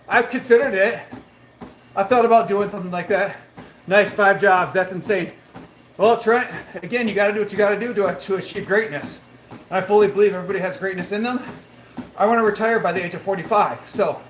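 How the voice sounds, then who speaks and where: A man in his late thirties hosting a live stream from his treadmill, answering viewer comments in an upbeat, motivational way. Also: high voice. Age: 30s. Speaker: livestream host. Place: home room with a treadmill, indoors. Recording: roomy echo, faint background noise.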